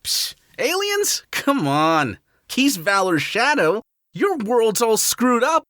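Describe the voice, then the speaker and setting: A woman playing a cocky, excitable young male hedgehog hero in a fan audio drama, with brash, playful, slangy delivery. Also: a little high, takes it slowly, no room sound, studio quality, heavily acted. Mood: exasperated.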